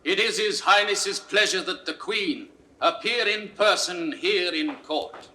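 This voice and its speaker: An actor playing a formal court officer in classical Shakespearean style, with a British accent and a ceremonial, proclaiming manner. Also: male voice, high-pitched, measured pace, background noise. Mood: solemn, official. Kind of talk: classical Shakespearean stage acting.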